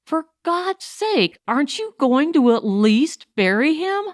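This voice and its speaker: A woman's voice: sits high, sing-song, slow pace, dry recording, clean studio sound, pitched above the performer's own voice, exaggerated voice. A woman voicing a shrewd, defiant female Mars prospector in an audiobook, with a composed, wry manner. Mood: desperate protest. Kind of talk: audiobook character voice.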